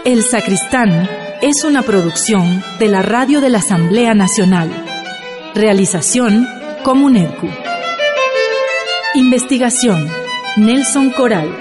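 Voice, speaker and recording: Slow woman announcer over loud strings. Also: mid-range voice. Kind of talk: scripted credits narration. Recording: loud violin music underneath.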